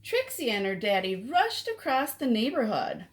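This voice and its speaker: A woman reading a picture book aloud to children as an expressive storyteller. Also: on the high side, moderate pace.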